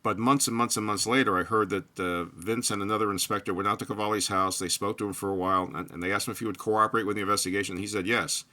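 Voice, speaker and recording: An older man talking alone about his research in a loose, rambling monologue, with frequent restarts and pauses. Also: lowish voice, fairly even intonation, bright, forceful tone. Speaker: true crime researcher. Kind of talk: solo spoken monologue.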